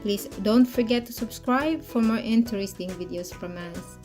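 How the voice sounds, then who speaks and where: A woman presenting a product demonstration for an online video in halting, non-native English phrasing. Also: medium pitch. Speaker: product reviewer and parent presenting to camera. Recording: music playing behind the voice.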